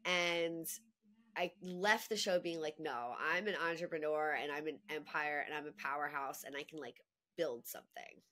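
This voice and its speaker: A woman in her thirties, a podcast guest chatting in a lively way with frequent laughs and filler words. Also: sits low, normal speed. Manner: chatty and animated, laughs easily, many "like" fillers. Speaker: guest, copywriting agency owner. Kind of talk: casual podcast conversation.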